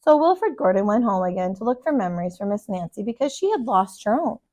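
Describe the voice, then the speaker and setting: A woman reading a children's storybook aloud with deliberate, emphatic, expressive storytelling. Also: medium pitch, slight background noise. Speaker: storybook reader, kindergarten teacher.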